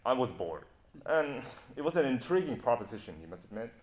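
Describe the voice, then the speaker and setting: A man's voice: medium pitch, sing-song, fairly quick, natural voice. Young man acting a brash, cocky adventurer, echoey. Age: young adult. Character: brash, cocky male adventurer, suave and sardonic. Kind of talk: amateur stage play acting. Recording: echoey student stage recording.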